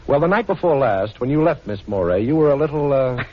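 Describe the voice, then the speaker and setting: Actor as cool wisecracking 1940s radio sleuth, muffled. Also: male voice, mid-range voice, expressive, fairly quick, a little hiss or hum, close to an everyday voice. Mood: polite, breezy. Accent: American. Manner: breezy, teasing, commanding. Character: cool, wisecracking adult male amateur sleuth with a gun. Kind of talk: 1940s radio drama acting.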